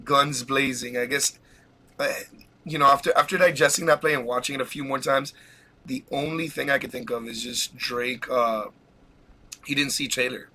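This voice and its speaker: An excited man hosts a casual live podcast, voice bright and forceful. He rambles, restarts and laughs often, sounding like he's pushing through a cold. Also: mid-range voice, normal speed. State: has a cold. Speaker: podcast host.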